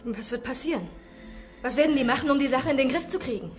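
A woman's voice: high voice, lively intonation, fast, faint music behind. An actress playing a fierce, blunt, protective woman caught in an outbreak in a horror radio drama. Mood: anxious. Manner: blunt, combative. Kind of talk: German radio drama acting.

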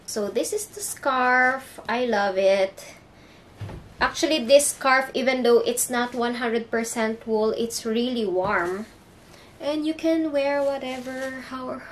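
Filipino accented young woman vlogging in her bedroom, slow and chatty, hunts for english words, faint hiss behind. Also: fairly high pitch. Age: young adult. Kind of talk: casual haul vlog. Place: bedroom indoors.

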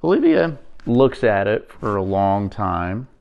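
Man, forties, storybook read-aloud, lively swooping intonation, creaky voice, deliberate pauses. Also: fairly low pitch, muffled recording. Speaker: actor reading a picture book.

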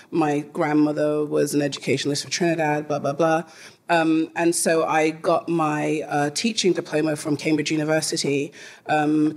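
Middle-aged London woman, panel guest on a stage, handheld mic, talkative and quick to laugh. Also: deep voice, a little mellow, husky. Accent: London English. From London, England. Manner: chatty and laughing often, with many fillers. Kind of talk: live panel conversation. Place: theater stage in front of a live audience.

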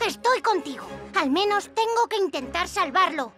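An actor playing an eager, excitable young boy superhero in a children's cartoon dub, exclamatory, wide-eyed and earnest. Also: very high-pitched, normal speed, full character voice. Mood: earnest, anxious. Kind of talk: animated children's cartoon dubbing. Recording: loud music behind the voice. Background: loud cartoon music.

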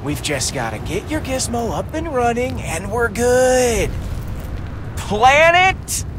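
A man's voice: extremely high pitch, big pitch swings, takes it slowly, background noise, full character voice. A man voicing a cocky, wisecracking young space outlaw hero in a video game, glib and bantering. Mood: cocky, breezy. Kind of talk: cinematic video game acting.

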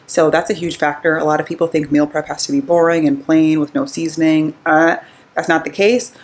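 Low-voiced young American woman, roomy sound. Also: steady tone, a little fast. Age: young adult. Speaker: fitness vlogger and host. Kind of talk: casual vlog chat, explaining to viewers. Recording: roomy echo, music behind.

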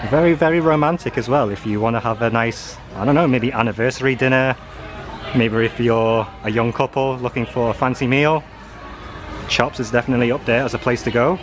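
A man's voice: mid-range voice, brisk, faint music behind. A man giving a casual walking video tour as a travel vlogger, talking as he shows viewers around. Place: walking around a busy cruise ship, indoors and on open decks. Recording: busy, noisy public ship ambience.

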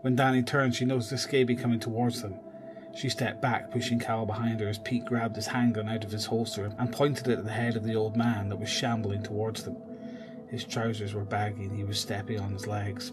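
A man narrating a scripted horror audio drama. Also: fairly low pitch.

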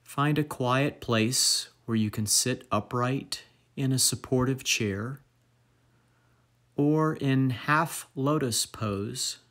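Male meditation guide, mid-pitched, very slow measured delivery, lingering pauses between phrases. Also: a bit bright.

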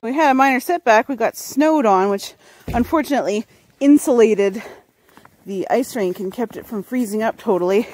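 A woman's voice: fairly high pitch, normal speed, a little mellow, dry recording, low-quality recording. A woman narrating casually to a handheld camera outdoors in a snowy backyard. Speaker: vlogger filming her family. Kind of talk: casual chat.

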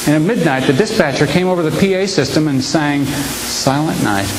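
A man in his fifties giving a humorous speech to a live audience, telling stories with timed pauses and punchlines. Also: on the high side. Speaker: humorist speaker. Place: large hall with an audience. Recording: echoey hall recording with hiss.

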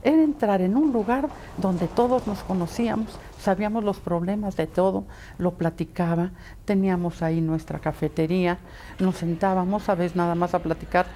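Mellow older Mexican woman. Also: lowish voice, moderate pace, slight background noise. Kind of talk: casual interview reminiscence.

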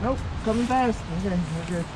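Excitable man fishing outdoors. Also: high-pitched, brisk. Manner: excitable, talks to viewers as "guys". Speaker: fishing vlogger. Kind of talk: casual outdoor vlog narration. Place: outdoors by a marshy pond in woods. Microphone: body-worn action camera. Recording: outdoor camera with clear background noise.

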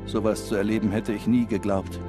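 An actor playing an exhausted German soldier, reading an intimate, weary letter home in a documentary. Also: male voice, lowish voice, flat delivery, brisk, over music, close to an everyday voice. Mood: weary, resigned.

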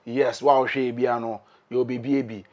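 A man's voice: mid-range voice, flat delivery, moderate pace, darkish tone. A young Ghanaian man hosting a casual interview, playful and bantering, slipping into pidgin. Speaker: interview host. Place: small recording studio indoors.

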